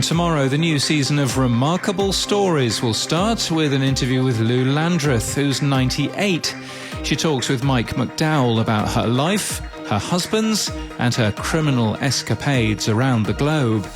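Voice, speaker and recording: A man presenting a scripted podcast news bulletin in crisp newsreader phrasing with wry touches. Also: medium pitch, expressive, normal speed. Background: background music.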